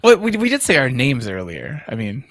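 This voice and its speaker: Male co-host, mid pitch, gravelly creak, relaxed conversational interviewing, close and dry recording. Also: a little fast, no echo. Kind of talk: casual chat, asking questions.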